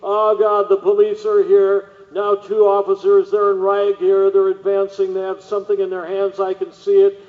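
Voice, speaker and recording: A man's voice: high-pitched, completely flat delivery, moderate pace, few pauses, natural voice. A man acting as a panicky, stammering field reporter at an alien attack in a radio drama. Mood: frantic. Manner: breathless, stammering, repeats himself. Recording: dull reverberant lo-fi audio.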